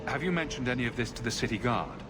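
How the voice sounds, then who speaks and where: A man's voice: mid-range voice, quick pace, reverberant, noisy background, close to an everyday voice. A man playing a confident, direct adventurer hero in a fantasy video game. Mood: businesslike. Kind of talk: video game dialogue acting.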